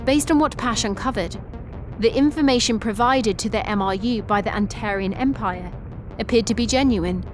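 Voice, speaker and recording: A woman giving even, matter-of-fact scripted narration for an animated sci-fi story. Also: fairly high pitch, normal speed. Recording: music bed under narration.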